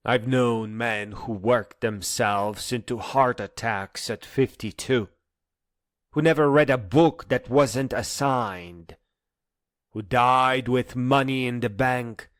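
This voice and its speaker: A man delivering a scripted spoken-word monologue in a solemn, deliberate way with poetic phrasing and pauses. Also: mid-range voice, no room sound, studio quality.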